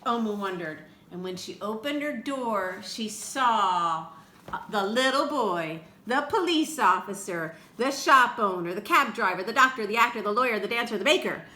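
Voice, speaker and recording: A woman reading a picture book aloud to children in an expressive way, with chatty asides to her young listeners. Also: mid-range voice, normal speed. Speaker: read-aloud storyteller for children. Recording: roomy echo with faint background noise.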